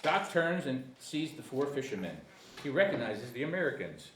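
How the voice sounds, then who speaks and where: A man's voice: medium pitch, very animated, normal speed, a bit bright, far from the mic. A man reading stage directions at a comedy screenplay table read, sometimes stumbling over words. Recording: echoey room with faint noise.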